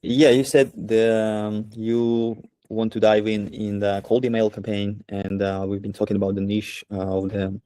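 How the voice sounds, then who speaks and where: A man in a casual business chat, speaking English as an in halting, agreeable phrases. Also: fairly low pitch, little pitch movement, dark tone, dry recording. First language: not English. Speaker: prospective client.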